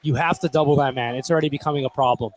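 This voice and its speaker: A man's voice: medium pitch, rapid-fire, a little hiss or hum. A man working as a color commentator on live basketball, giving casual, opinionated and emphatic analysis. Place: indoor basketball gym.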